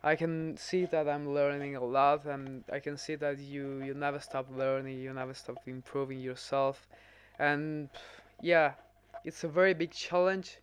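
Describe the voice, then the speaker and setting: A man's voice: on the high side, steady tone, measured pace, slight background noise. A young man from Italy, a podcast guest talking earnestly and piling up examples. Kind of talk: casual podcast chat.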